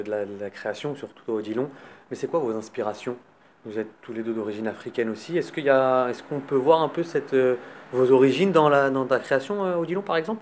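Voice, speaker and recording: A man's voice: medium pitch, a little mellow, a little hiss or hum. A man hosting an interview, asking informal, chatty questions. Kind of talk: podcast interview.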